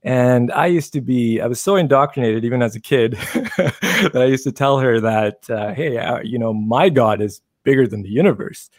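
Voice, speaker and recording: A man from Pakistan, a guest telling his life story in a casual interview, measured and thoughtful with many fillers. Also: mid-range voice, expressive, dry recording, clean studio sound.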